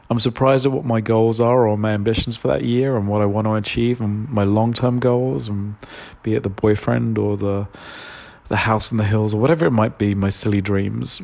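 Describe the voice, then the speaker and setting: A man, stuffed up with a cold, talking openly and hesitantly in a solo podcast monologue, full of pauses and fillers. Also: mid-range voice, creaky, darkish tone. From London, England. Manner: open, confessional, hesitant with many fillers. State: congested with a cold. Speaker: podcast host.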